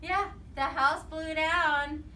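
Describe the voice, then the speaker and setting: A woman's voice: high-pitched, steady tone, takes it slowly, bright, forceful tone. A woman reading a storybook aloud expressively to young children, prompting them with questions and echoing their answers. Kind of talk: animated storybook read-aloud with questions to listeners. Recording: roomy, distant mic with background noise.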